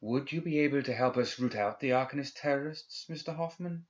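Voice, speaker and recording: A man's voice: mid-range voice, a little fast, pitched above the performer's own voice, lightly acted. A man voicing a formal, coercive male official in an audiobook, speaking with polite menace. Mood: probing. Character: smooth-talking, coercive male Guild official. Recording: slightly dull, band-limited recording.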